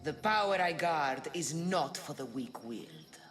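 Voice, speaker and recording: An actress playing a mysterious woman guardian who tests a hero with riddles, in a slow, measured manner. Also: low-pitched, expressive. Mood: enigmatic. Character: low-voiced woman guardian posing riddles. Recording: game cutscene with loud music.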